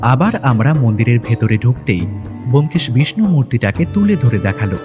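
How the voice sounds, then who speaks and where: Lively male story narrator over music. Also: medium pitch, brisk. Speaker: first-person narrator of detective story. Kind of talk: dramatic scripted audio-story narration. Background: background music.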